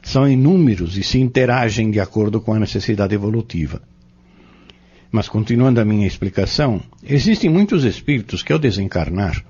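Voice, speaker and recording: Audio drama actor as male pharmacist-healer: Brazilian accent, very low voice, measured and solemn, muffled recording. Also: mellow, slightly rough, full character voice. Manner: formal, measured, preacherly phrasing.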